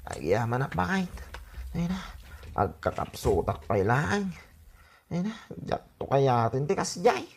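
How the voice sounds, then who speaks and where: A woman's voice: rumbling low, sing-song, measured pace, slight background noise, exaggerated voice. A woman acting a grumbling, exclamatory, ailing villager in an Ilocano radio comedy drama. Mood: complaining.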